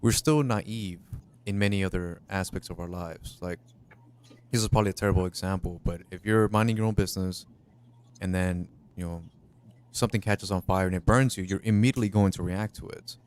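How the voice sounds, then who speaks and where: Man, 30s, low voice, reflective co-host of a relaxed talk show. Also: brisk, clean tone. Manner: reflective, thinks aloud with restarts. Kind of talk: casual late-night chat.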